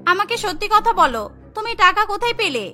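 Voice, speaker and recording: A woman's voice: very high voice, very animated, quick pace, bright, forceful tone, faint music behind, full character voice. A woman voicing a meek, sorrowful orphaned younger sister in a cartoon, plaintive and emotive. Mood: moved, plaintive. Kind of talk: Bengali cartoon dubbing.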